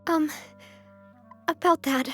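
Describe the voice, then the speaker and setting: Young game heroine and leader: high register, poised, sincere, warm-hearted. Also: female voice, steady tone, takes it slowly, no echo, soft background music. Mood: sorrowful. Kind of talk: video game character dialogue.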